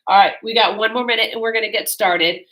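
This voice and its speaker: A woman fitness coach with a bright, ringing voice, a little congested today. She chats in a friendly, rambling way with plenty of ums and uhs, recorded in a home exercise space on the camera mic. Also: mid-range voice, steady tone, fast talker, rough recording. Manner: friendly, rambling, many fillers. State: slightly congested. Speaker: fitness instructor. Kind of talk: chatty class introduction.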